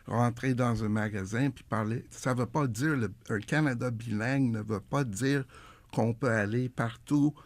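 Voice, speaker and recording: A man with a gravelly, creaky voice answers interview questions firmly, tripping over repeated words as he goes. His voice is dry and close, with no room sound. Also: mid-range voice, unhurried, dry recording. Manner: firm, stammers on restarts. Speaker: interview guest.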